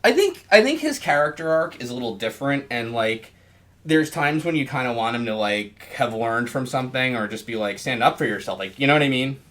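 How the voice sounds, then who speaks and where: A man's voice: medium pitch, animated, brisk, fairly bright tone. A man hosting a podcast, chatting casually and rambling with lots of "like" and "you know". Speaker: podcast host, interviewer.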